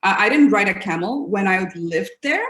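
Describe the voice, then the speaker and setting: A woman's voice: medium pitch, animated, moderate pace, fairly bright tone. Chatty woman guest in an echoey room. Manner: chatty and upbeat, often trailing off with fillers. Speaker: interview guest, fashion blogger. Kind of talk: casual interview.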